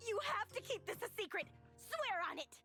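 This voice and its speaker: A teenage schoolgirl from an anime action game. Her voice is high and swings widely in pitch, with faint music underneath. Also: sing-song, fairly quick, sharp tone, full character voice. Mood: playful, secretive.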